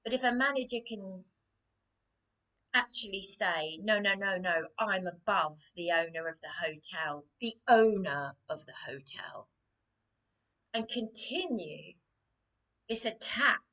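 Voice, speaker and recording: Hoarse, slow-talking woman near whispering. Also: medium pitch, sharp tone. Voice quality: hoarse, often dropping to a whisper. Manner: distressed, hesitant, halting with many restarts. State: losing her voice, coughing. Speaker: vlogger. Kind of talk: personal vlog monologue.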